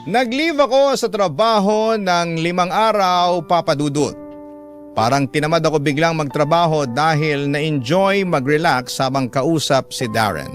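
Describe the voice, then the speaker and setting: A man hosting a radio drama, reading a love story as scripted, sentimental narration in measured phrases. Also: a little high. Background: guitar music.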